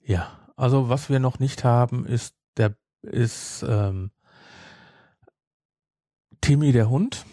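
A man's voice: mid-range voice, takes it slowly, long pauses, mellow, no room sound, clean studio sound. A man chatting casually as a podcast co-host, rambling and repeating his phrases. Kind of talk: casual chat.

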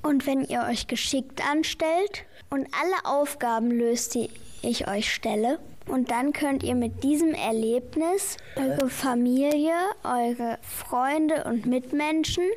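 A woman's voice: sits high, slow, darkish tone, heavily acted. A woman playing a patient Christmas angel in an amateur radio play, explaining the nativity story with careful reading. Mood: earnest.

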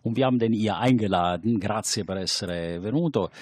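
A man co-hosting a radio show, speaking haltingly with many fillers and cheering on his guest. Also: on the low side, darkish tone, breathy edge, dry recording, studio quality. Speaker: radio co-host. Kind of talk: casual radio chat.

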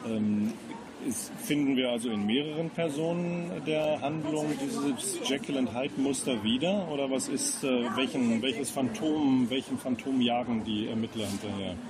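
Ein Mann führt als Radiomoderator ein Literaturinterview und formuliert zögernd, mit suchenden Umwegen. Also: male voice, mid-range voice, moderate pace, a loud crowd. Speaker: radio host and book interviewer. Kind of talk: literary interview.

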